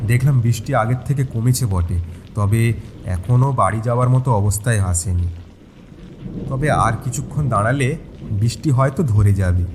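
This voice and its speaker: A man's voice: lowish voice, darkish tone, a little hiss or hum. A man narrating a first-person horror audio story in a scripted storytelling style. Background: rain sounds.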